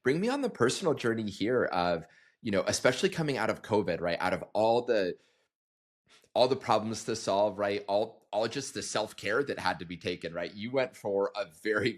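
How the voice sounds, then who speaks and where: A man hosting a podcast, asking casual, rambling questions filled with likes and rights. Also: deep voice. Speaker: podcast host. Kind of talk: interview questions.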